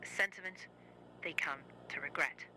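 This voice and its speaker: A formal news reporter played by a performer reads a scripted horror-series bulletin in a measured way. The voice is very sharp and bright, with faint noise on the recording. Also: medium pitch, low-quality recording. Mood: grave, formal.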